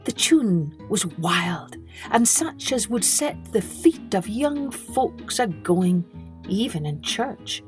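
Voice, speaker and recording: A woman's voice: mid-range voice, very animated, takes it slowly, soft background music. A woman reading a children's story as a podcast storyteller, expressively, with dramatic emphasis on key words.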